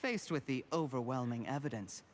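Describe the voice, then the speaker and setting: An actor voicing a coldly vengeful young male schemer in a video game, measured and theatrical, with menacing composure. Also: mid-range voice, animated, crowd noise, lightly acted. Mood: coldly gloating. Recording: game music under the voice.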